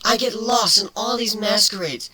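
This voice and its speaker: A performer voicing a feisty, defensive female changeling with a sharp tongue in a dramatic story reading. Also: medium pitch, moderate pace, over a noisy crowd, voice pushed up, lightly acted, low-quality recording. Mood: furious, hurt. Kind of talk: dramatic fan fiction reading.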